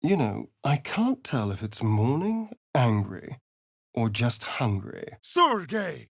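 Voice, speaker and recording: An actor voicing a sardonic, foppish, flirtatious vampire spawn in a video game, with an arch, theatrical, languid drawl. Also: male voice, medium pitch, sing-song, slow pace, vocal fry, no room sound, studio quality, full character voice. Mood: incanting. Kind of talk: video game character lines.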